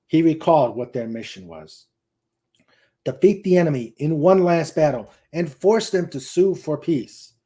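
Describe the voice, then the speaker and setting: A man narrating a science fiction war novel in a deliberate read-aloud delivery, with small stumbles here and there. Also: on the high side, dark tone. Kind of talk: dramatic reading of a sci-fi war novel.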